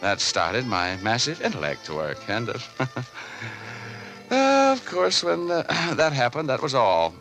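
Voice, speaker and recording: Tough private detective, wry and self-amused, animated intonation, vintage radio drama, music behind. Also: male voice, medium pitch, measured pace, exaggerated voice, rough recording. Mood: wry, explaining. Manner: colloquial, wry, hesitant lead-ins. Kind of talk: 1940s radio detective acting and narration.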